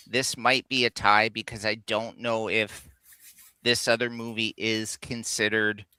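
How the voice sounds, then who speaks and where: Very bright, crisp-voiced man chatting. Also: lowish voice, flat delivery, measured pace, dry recording, poor audio. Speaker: co-host of a live geek countdown show. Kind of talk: casual chat, enthusiastic explaining of film trivia.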